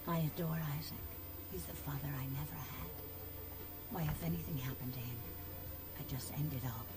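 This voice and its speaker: An actress playing a seductive, languid female vampire in a video game, with a sultry, breathy, drawn-out delivery. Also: deep voice, lightly acted. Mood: adoring. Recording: game dialogue with music clearly behind.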